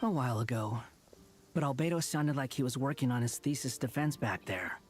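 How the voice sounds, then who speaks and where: A man's voice: mid-range voice, fairly quick, lightly acted. An actor playing an aloof young male wanderer in a video game, with a dry, sarcastic, teasing delivery. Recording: game music behind.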